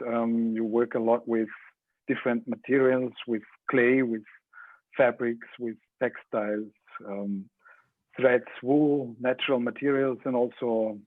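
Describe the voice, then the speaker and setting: A man moderating an informal talk as interviewer, speaking in a halting, deliberate way with frequent pauses. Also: medium pitch, no echo. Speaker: interviewer, art space organizer. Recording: dry, narrow phone-like call sound.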